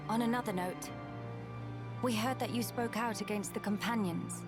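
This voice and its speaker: An actress playing a composed, courteous young noblewoman in a video game cutscene, speaking formally and with measured dignity. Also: fairly high pitch, natural voice. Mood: solemn. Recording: game music behind the voice.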